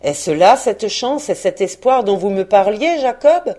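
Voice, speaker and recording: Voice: mid-range voice, expressive, measured pace, warm tone, voice pushed up, close to an everyday voice. A narrator voicing a frightened, humbled old male miser who speaks with hesitant deference. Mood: uneasy. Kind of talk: audiobook character reading.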